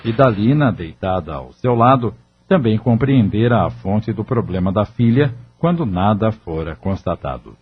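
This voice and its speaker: A man's voice: fairly low pitch, lively intonation, moderate pace, slightly rough. A man reading scripted, dramatic narration for an audio novel. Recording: muffled audio drama recording with music.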